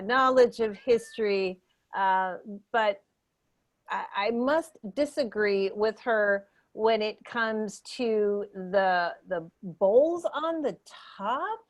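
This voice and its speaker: A woman playing a condescending, pompous academic expert in an improvised mock debate, halting and emphatic. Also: medium pitch, natural voice.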